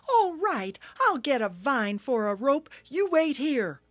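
A woman voicing a small female turtle with a high, insistent voice. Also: sing-song, slow pace, no echo, exaggerated voice. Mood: angry. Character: small female turtle, polite then angry.

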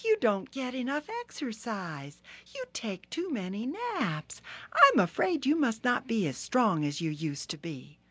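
A woman voicing a small female turtle with a high, insistent voice. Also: wildly swooping pitch, unhurried, a bit bright, breathy edge, no echo, exaggerated voice. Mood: coaxing. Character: small female turtle, polite then angry.

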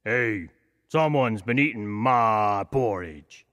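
A man voicing a slow, deliberate papa bear in a storybook tale. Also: fairly low pitch, animated, a bit bright, big-room sound. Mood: puzzled.

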